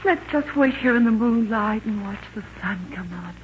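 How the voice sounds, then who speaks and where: A woman's voice: fairly high pitch, normal speed, lightly acted. Casting: woman as lovestruck young girl, pleading romantic delivery, vintage radio drama, muffled recording with faint hiss. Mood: coaxing, adoring. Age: young adult. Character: infatuated, coaxing twenty-year-old girl. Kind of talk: 1940s radio acting.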